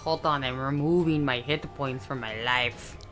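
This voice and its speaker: A woman's voice: rumbling low, fairly even intonation, moderate pace, fairly bright tone. A woman playing a tabletop game, chatting casually in a playful, exclamatory way. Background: music.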